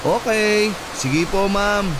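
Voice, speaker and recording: A man's voice: high-pitched, takes it slowly, very noisy. A man playing a playful, persistent young suitor in a radio romance, teasing and coaxing with melodramatic flair. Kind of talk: radio romance drama acting. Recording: loud music under the dialogue. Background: loud music.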